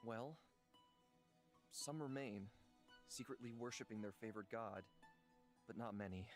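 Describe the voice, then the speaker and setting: A man voicing a gentle, wise, centuries-old god in human form for a visual novel, calm and measured, as if counselling. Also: lowish voice. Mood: calm, advising. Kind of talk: visual novel character reading. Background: music.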